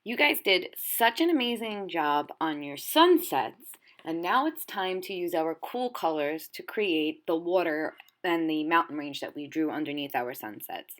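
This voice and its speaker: A woman with a fairly low voice speaks as an elementary art teacher. She explains a drawing technique step by step, addressing children directly and encouragingly. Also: animated, measured pace, a bit bright. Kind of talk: instructional demonstration for children.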